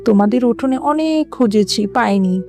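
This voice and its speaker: A woman's voice: fairly high pitch, lively intonation, fairly quick, darkish tone. A woman voicing a harried, chiding fox mother in a children's cartoon. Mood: defensive. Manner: chiding, explaining herself. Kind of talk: children's animated fable acting. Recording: music under the voice.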